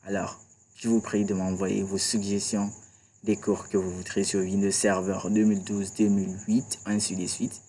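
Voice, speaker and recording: Low, flat-voiced man teaching a tutorial. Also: moderate pace. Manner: chatty, repeats "d'accord" and "voilà". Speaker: IT tutorial instructor. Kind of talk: screen-recorded computer tutorial.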